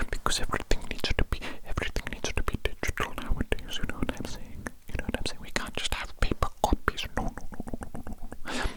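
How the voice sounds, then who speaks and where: A performer voicing an eager, odd alien doctor who poses as a human man of science, in a slow breathy ASMR whisper, repeating phrases in stilted, formal wording. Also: lightly acted, poor audio. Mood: soothing, reassuring.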